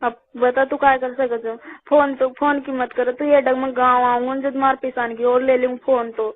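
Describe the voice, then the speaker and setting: A woman's voice: high voice, flat delivery, moderate pace. A woman with a Rajasthani accent chatting casually on a phone call, complaining and repeating herself. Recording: tinny phone line call recording.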